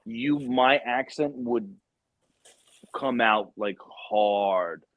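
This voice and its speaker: A man's voice: medium pitch, drawn-out words, no echo. A man with a Brooklyn accent, from New York, chatting casually on a podcast and telling stories. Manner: storytelling, lots of "like". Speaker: podcast guest. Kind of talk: casual chat.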